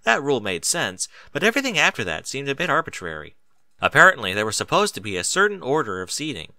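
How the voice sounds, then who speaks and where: A man reading a story aloud as an expressive narrator. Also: mid-range voice, fast, no echo. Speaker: narrator of a fan fiction reading.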